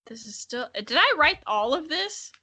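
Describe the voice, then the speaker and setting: A woman's voice: sits high, big pitch swings, moderate pace, sharp tone, dry recording. A woman co-hosting a podcast, reading old childhood writing dramatically and breaking in with casual, amused, self-mocking, sweary commentary.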